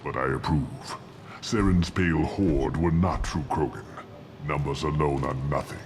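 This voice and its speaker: A man's voice: a bass voice, measured pace. A man playing a proud, contemptuous old alien warlord scientist in a video game, speaking in a pompous, theatrical way. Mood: cold, imperious. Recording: loud ambient noise behind.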